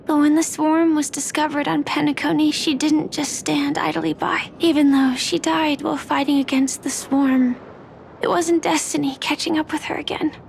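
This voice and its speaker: A woman playing a wistful, compassionate young woman in a video game, speaking softly and reflectively. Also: high-pitched, flat delivery, moderate pace, gravelly, a little hiss or hum, rough recording. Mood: quiet grief. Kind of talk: soft dramatic video game acting.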